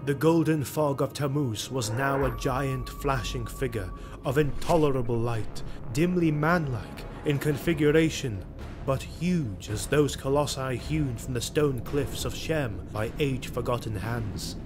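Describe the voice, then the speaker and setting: A man's voice: mid-range voice, a little slow, music in the background. A man narrating a fantasy audiodrama in a grave, ornate storytelling manner. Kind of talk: dramatic scripted audiodrama narration.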